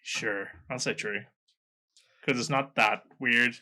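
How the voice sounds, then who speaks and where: Bright-voiced man in casual chat. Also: mid-range voice, steady tone, takes it slowly.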